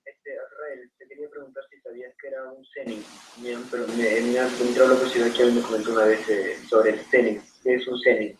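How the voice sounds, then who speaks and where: A man calling in to ask questions on a video call, speaking in quick, halting bursts with repeated words. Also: medium pitch, steady tone. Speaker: caller asking questions. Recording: echoey distant call audio with faint noise.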